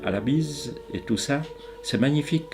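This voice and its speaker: A man in his eighties, raised in Ticino, speaks French slowly and haltingly, often pausing and repeating words as he reflects on his life as a painter. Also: medium pitch, lively intonation, a little mellow, soft background music. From Ticino, Switzerland. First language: Ticinese dialect. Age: 80s. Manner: slow, hesitant, many pauses and repeated words. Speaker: painter interviewed. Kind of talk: reflective interview answers.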